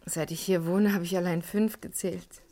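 A woman's voice: fairly low pitch, fairly even intonation, moderate pace, mellow, lightly acted. A woman playing a guarded young Parisian in a 1980s radio crime drama, answering with reserve and a slight edge. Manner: reserved, slightly pointed replies.

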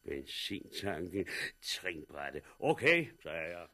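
A man's voice: sits low, big pitch swings, bright, forceful tone, full character voice. An actor playing a jovial, wry blind man with a joking, chummy manner in a 1960s radio drama. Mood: wry, weary. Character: jovial, wry blind man loading people onto a lorry.

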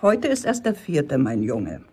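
A woman's voice: low-pitched, wildly swooping pitch, unhurried, darkish tone, echoey room, full character voice. A woman acting a stern, bossy village pub landlady and impatient mother in a German radio play. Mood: firm, dismissive.

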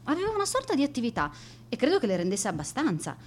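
A woman's voice: a little high, huge pitch swings, fast talker, darkish tone, slight background noise. Wry, lonely outcast schoolgirl. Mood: rueful. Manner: confiding, self-deprecating, wry. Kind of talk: radio drama monologue.

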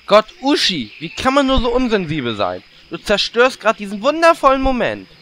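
A man's voice: sits high, wildly swooping pitch, normal speed, fairly bright tone, heavily acted. A man playing a small, melodramatic orc who whines with fake sensitivity in a comedy audio drama. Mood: hurt, melodramatic. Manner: exaggerated, theatrical whining.

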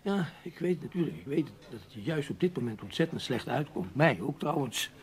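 Dutch radio drama: proud, resigned middle-aged man of letters, wordy and hesitant, creaky voice with big swoops of intonation. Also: medium pitch, big pitch swings, brisk. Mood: resigned, protesting. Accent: Dutch, Netherlands. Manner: wordy, hesitant, protesting with repeated little words. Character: proud, resigned middle-aged literary newspaper writer, gentlemanly. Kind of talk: Dutch radio play acting.

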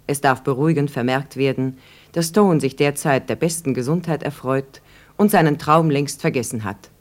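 Detached radio play narrator, deep female voice, formal, dryly factual. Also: normal speed, a little mellow. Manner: formal, matter-of-fact.

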